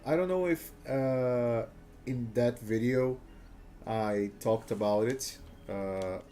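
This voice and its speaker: A man's voice: mid-range voice, slow pace, mellow, faint music behind. Guy streaming, on his english, rambly and chuckly, lots of uhs, medium voice. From Brazil. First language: Portuguese. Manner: rambling and chuckly, with frequent "uh" fillers and restarts. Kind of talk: casual live-stream chat over gameplay.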